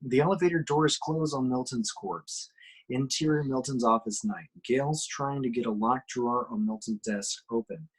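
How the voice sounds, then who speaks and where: A man's voice: mid-range voice, normal speed, husky, rough recording. A man reading screenplay action descriptions aloud at a table reading.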